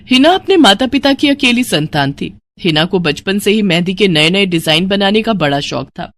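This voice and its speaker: A woman's voice: mid-range voice, lively intonation, moderate pace, fairly bright tone, a little hiss or hum. A woman narrating a scripted moral story as a storyteller.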